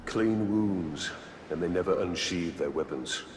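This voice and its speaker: An actor playing a grave, sober warrior in a fantasy video game, serious and measured. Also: male voice, low voice, soft background music. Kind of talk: video game character acting. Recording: long reverb, faint background noise.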